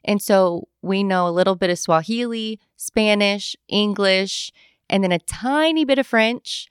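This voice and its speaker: A young adult woman chatting casually, telling animated travel stories and acting out what people said. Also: medium pitch, a little slow, clear, resonant tone, dry recording, clean studio sound. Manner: animated storyteller, acts out quoted voices. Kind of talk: casual chat.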